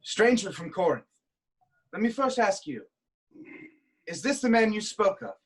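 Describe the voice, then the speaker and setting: A woman playing a proud, stubborn king of ancient Thebes, declaiming deliberately in a theatrical reading. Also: medium pitch, big pitch swings, slightly rough, low-quality recording. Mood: commanding. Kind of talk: theatrical staged reading of Greek tragedy.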